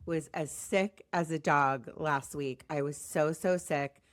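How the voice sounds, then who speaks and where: An American woman hosting a podcast in a chatty, rambling, self-deprecating way, her voice slightly raspy as it recovers from being lost. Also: low-pitched, steady tone, takes it slowly, dry recording, studio quality. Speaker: podcast host.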